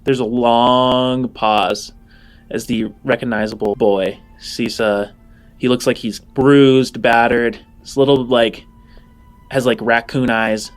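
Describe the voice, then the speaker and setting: A man narrating an improvised tabletop game as game master, descriptive and halting. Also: mid-range voice, fairly even intonation, faint music behind.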